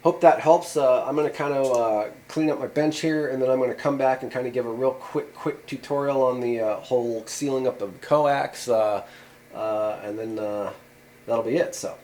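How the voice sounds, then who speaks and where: A man talks through a hands-on demonstration at his workshop bench in an easygoing, unscripted way. His voice sits at an ordinary middle pitch, and he fills his sentences with "uh" and "you know". A faint noise hums behind him. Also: moderate pace. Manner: relaxed, rambling, many "uh" and "you know" fillers. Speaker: channel host showing radio antennas. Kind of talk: casual how-to demonstration.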